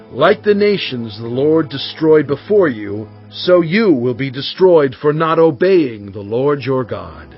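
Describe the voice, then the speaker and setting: Solemn, animated biblical prophet. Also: male voice, fairly high pitch. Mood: stern, forceful. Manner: solemn, emphatic oratory. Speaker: dramatized audiobook reader. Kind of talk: dramatized scripture reading. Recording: dull audio with faint music bed. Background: faint background music.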